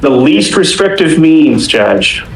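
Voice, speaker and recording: A man's voice: big pitch swings, takes it slowly, gravelly. A man speaking as a defense attorney, arguing in court in a formal, deferential but insistent manner. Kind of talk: courtroom argument. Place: indoor courtroom. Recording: echoey courtroom audio with rumbling noise.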